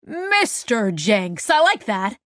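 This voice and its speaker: A woman's voice: on the high side, expressive, moderate pace, no echo, clean studio sound, natural voice. A woman voicing a small, stocky male tech in an audiobook story, casual and blunt with a playful, joking streak. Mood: easygoing. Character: small stocky man, cocky, laid-back joking tech.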